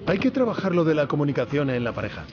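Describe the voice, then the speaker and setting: Animated, higher-voiced young assistant. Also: male voice, fast, heavy background noise. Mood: wry, puzzled. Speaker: voice actor. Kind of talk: Spanish game dub of film dialogue. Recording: dull game audio, music behind.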